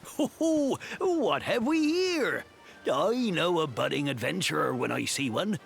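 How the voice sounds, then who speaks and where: A jolly outdoorsman from a fantasy game, hearty and talkative. His gravelly voice swings widely in pitch as he hands out folksy advice at an unhurried pace. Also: sing-song, soft background music, heavily acted. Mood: jolly, instructive. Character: hearty, chatty camping enthusiast giving adventurers advice. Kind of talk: scripted video game voice acting.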